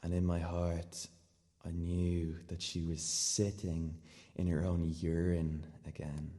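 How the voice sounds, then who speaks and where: A male actor as an awkward, muddled man delivering a radio play monologue in a very low voice. He confesses earnestly, haltingly correcting himself, inside a long reverberant space. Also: monotone, mellow. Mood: uneasy, confessional. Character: rambling, confused, socially awkward man confessing odd thoughts.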